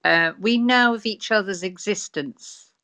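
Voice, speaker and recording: A woman guest in a casual live chat, speaking in a slow, affectionate and reflective way. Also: mid-range voice, bright, forceful tone.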